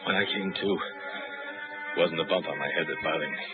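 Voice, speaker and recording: An actor playing a determined freelance pilot who narrates in the first person in a 1950s radio drama, terse and urgent. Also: male voice, very deep voice, brisk. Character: hard-boiled adult male freelance pilot, determined. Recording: tinny old radio broadcast with music.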